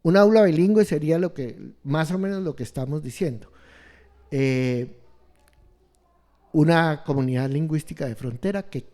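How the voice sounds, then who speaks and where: A man explaining ideas on education in an interview, halting and restarting often as he searches for words. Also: on the high side, dark tone. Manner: slow, halting, many restarts and fillers. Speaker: education expert guest.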